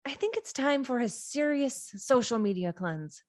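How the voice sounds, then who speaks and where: A woman's voice: high-pitched, lively intonation, a little slow, a little mellow. A woman reading the part of an earnest, anxious young witch in a script table read. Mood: relieved.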